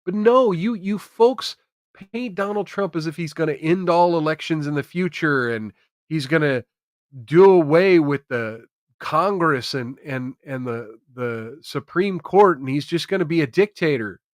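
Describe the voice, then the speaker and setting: A man's voice: on the high side, animated, unhurried, no room sound, studio quality. An American man hosting a political commentary stream, speaking emphatically and repeating phrases for effect. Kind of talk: opinionated political commentary to camera.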